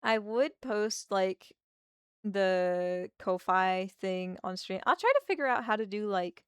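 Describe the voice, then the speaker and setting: A woman with a slightly hoarse voice from a sore throat, chatting casually and thinking aloud while she draws on a livestream. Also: medium pitch, expressive, slow pace, no room sound, studio quality. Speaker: art streamer.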